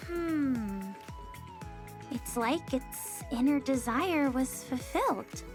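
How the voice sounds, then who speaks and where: A woman's voice: high-pitched, animated, slow pace, over music. A woman playing an eager, playful, game-loving young heroine in a video game, perky and inquisitive with theatrical phrasing. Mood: curious. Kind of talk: video game cutscene acting.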